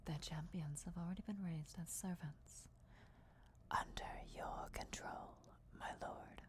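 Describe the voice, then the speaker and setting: A woman voicing a deferential vampire emissary in a fantasy ASMR roleplay, speaking in a formal, measured, breathy whisper. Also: on the low side, steady tone, natural voice. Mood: hushed, deferential. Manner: hushed, formal, measured, reverent. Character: deferential female vampire emissary briefing her lord before war.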